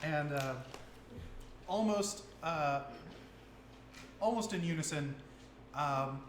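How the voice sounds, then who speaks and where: A man's voice: on the high side, takes it slowly. A man narrating as dungeon master in a casual, laughing way, with many fillers. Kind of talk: narrating a tabletop game. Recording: roomy with faint noise.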